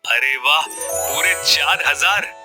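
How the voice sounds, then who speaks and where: A man playing a greedy, smooth-talking village biryani seller in a cartoon story, with emphatic, expressive delivery. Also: on the high side, takes it slowly, over music. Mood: scheming, greedy. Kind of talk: Hindi cartoon story voice acting.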